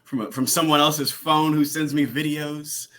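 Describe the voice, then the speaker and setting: A young man guesting on a sports podcast over a video call, an excitable storyteller who laughs often. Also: fairly high pitch, normal speed. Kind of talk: casual sports podcast chat.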